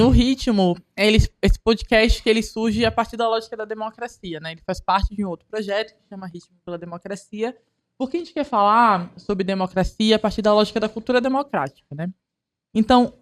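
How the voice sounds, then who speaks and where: Mid-pitched woman hosting a podcast. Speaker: podcast host. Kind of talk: conversational interview questioning.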